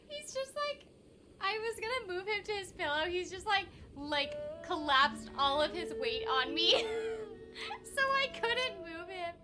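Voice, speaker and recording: A woman's voice: very high voice, noisy background. A woman chatting casually and playfully on a livestream as she plays a game, often giggly. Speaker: game streamer, voice actor. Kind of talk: casual livestream chat while playing. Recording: game music behind the voice. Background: video game music.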